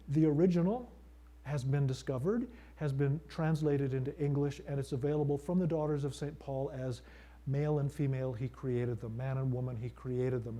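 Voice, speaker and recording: A middle-aged male priest giving a conference lecture, measured and thoughtful, with frequent fillers and restarts. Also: on the high side, mellow. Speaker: priest and seminary teacher.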